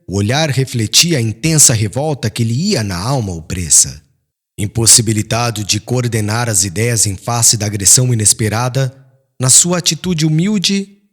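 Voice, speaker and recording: A man's voice: medium pitch, fairly bright tone, slightly rough. A man reading an audiobook as a solemn, formal and dramatic literary narration.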